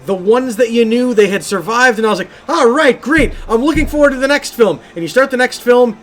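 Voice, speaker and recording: Male co-host, clearly high voice, emphatic casual storyteller, slight noise in the recording. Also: a little fast, fairly bright tone. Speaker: podcast co-host. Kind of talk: casual film and game discussion.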